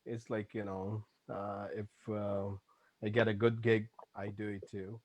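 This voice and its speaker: A man's voice: fairly low pitch, a little slow, no room sound. Guy from pakistan on a video call, rambly, lots of "you know", dry close audio. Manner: relaxed, rambling, many fillers. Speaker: music producer. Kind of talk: casual chat. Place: Toronto.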